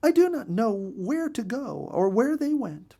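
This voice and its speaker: A man's voice: extremely high pitch, big pitch swings, unhurried, vocal fry, dark tone, slightly rough, pitched above the performer's own voice, exaggerated voice. A man voicing a young female storybook bluebird, blunt and plaintive. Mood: lost, worried. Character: young lost female bluebird, rude and anxious.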